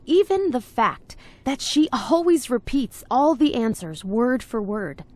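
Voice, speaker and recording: A woman's voice: on the high side, very animated, measured pace, dry recording. An actress playing a diligent, determined woman investigator in a video game, speaking in a formal, methodical way.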